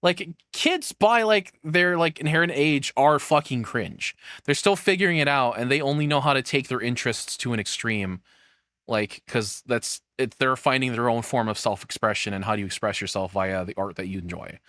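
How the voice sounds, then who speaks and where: A man's voice: medium pitch, normal speed, bright, forceful tone, dry recording. A man doing casual off-the-cuff reaction commentary as a streamer, rambling along and swearing freely.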